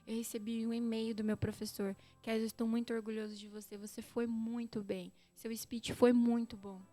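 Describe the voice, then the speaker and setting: A young Brazilian woman with a Brazilian Portuguese accent, a podcast guest telling lively stories and speaking straight to listeners. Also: a little high, moderate pace. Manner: lively storyteller, often addresses listeners directly. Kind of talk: casual chat.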